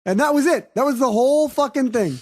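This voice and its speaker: A man's voice: very high voice, lively intonation, brisk, a little mellow. A man co-hosting a casual movie review podcast, joking and swearing freely as he talks. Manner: profane, jokey, breaks into mock accents. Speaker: podcast host.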